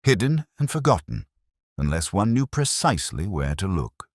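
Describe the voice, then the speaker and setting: A man voicing a keen, commanding Victorian detective in an audiobook, brisk, precise and authoritative. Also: fairly low pitch, sing-song, no room sound, clean studio sound, voice pushed up. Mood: pondering. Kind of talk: audiobook character dialogue.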